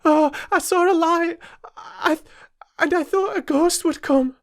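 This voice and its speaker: A man voicing a terrified little girl who pleads frantically in a high, breathless voice in a storybook reading. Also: takes it slowly, heavily acted. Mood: frightened.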